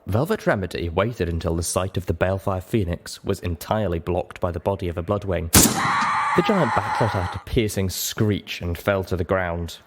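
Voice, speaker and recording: A man reading a first-person adventure story aloud as a dramatic narrator, with expressive and deliberate phrasing. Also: deep voice, heavy background noise. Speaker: audiobook narrator.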